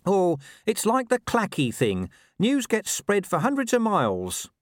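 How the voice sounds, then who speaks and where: A scruffy, streetwise talking dog, played by a male narrator from a comic storybook. He is sly and world-weary, full of colloquial asides, with the pitch pushed up and swinging about in a dry recording. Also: huge pitch swings, measured pace, no room sound, heavily acted. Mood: cynical, knowing.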